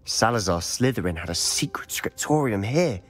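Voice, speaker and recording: An actor playing an eager young male wizard student, curious and quick to question. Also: on the low side. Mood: earnest. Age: young adult. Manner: lively, quick to question and tease. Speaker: student companion in a video game. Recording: game dialogue with soft music underneath.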